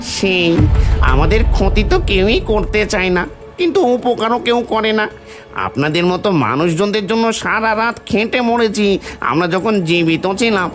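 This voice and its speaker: A menacing dead labourer's ghost, acted by a woman, speaks in bitter, theatrical taunts for a horror cartoon. The pitch swings widely, and music plays clearly behind the voice. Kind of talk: Bengali horror cartoon voice acting.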